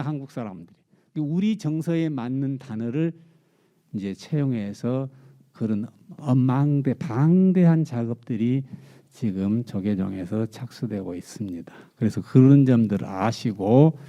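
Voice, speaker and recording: A man's voice: medium pitch, warm tone. A male Buddhist monk giving a dharma talk, explaining eagerly with rhetorical questions and repeated key words. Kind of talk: sermon. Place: temple dharma hall.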